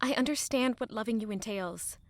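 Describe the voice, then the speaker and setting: A woman's voice: a little high, fairly quick, no room sound, natural voice. An actress playing a guilt-ridden, selfless young woman in love, speaking earnestly and emotionally in an intimate audio drama. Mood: sad, resigned.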